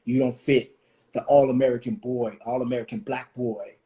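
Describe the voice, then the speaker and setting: A man in his forties from Washington, D.C., hosting a reflective conversation, thoughtful and full of pauses and restarts. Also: mid-range voice, steady tone, mellow. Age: 40s. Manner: thoughtful, many fillers and restarts. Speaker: host.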